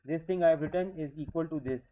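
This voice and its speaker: A man's voice: a little high, fast talker, mellow, dull audio, slight background noise. Man in his thirties teaching code. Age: 30s. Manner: explains step by step, often checking with "okay?". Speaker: programming teacher. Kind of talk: screen-recorded coding lecture.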